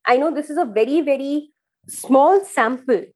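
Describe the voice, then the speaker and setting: A woman's voice: high voice, normal speed. A woman with an Indian accent, a professor whose first language is Kangri, answering interview questions earnestly and often repeating words as she thinks. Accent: Indian English. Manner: earnest, repeats words while thinking, addresses host by name. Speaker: education professor, guest.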